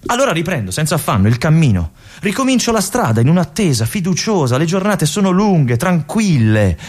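A weary old-guard lieutenant, husky voice, pitch wandering widely, wistful radio-stage monologue. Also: male voice, big pitch swings. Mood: wistful, reflective. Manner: reflective, with long pauses and soft whispered asides. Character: aging male army lieutenant, lonely, wistful, resigned. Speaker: stage actor.

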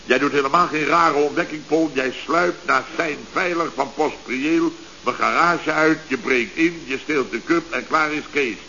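Panicky bossy builder boss, dutch accent from the netherlands, sputtering and exclaiming, sharp voice, dull old radio comedy sound. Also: male voice, on the high side, measured pace, slight background noise. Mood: exasperated, commanding. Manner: exasperated, commanding, exclamatory, sputtering. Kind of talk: 1970s radio comedy acting.

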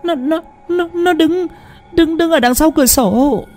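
A man voicing a terrified young wife who stammers in shrill panic. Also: big pitch swings, moderate pace, dark tone, husky, faint music behind. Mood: panicked stammering.

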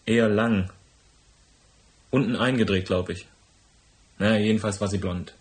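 Actor as a low-voiced, sly hotel bellboy. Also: male voice, fairly even intonation, measured pace, lightly acted. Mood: slightly affronted. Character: sly, obliging male hotel bellboy open to bribes. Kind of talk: noir radio drama acting.

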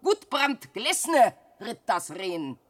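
A woman's voice: very animated, drawn-out words, no echo, exaggerated voice. An actress playing a poor old widowed mother in a classic radio play, scolding and lamenting her lazy son. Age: older. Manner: scolding and lamenting, swings from shrill cries to hushed sighs.

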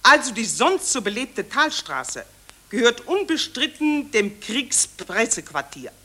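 A woman's voice: fairly high pitch, big pitch swings, a little slow, fairly bright tone, heavily acted. An actress playing a gushing, self-important female war reporter in a satirical radio drama, declaiming in an affected, theatrical way. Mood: self-satisfied.

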